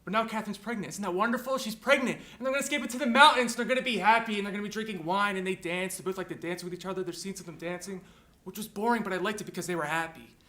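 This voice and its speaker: Exasperated young man, played by a male student actor, quick and high-pitched, indignant film-style rant. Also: steady tone, fairly bright tone. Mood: incredulous. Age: young adult. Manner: indignant, emphatic, repeats himself. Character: agitated, exasperated grown son ranting to parents. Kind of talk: performed film monologue.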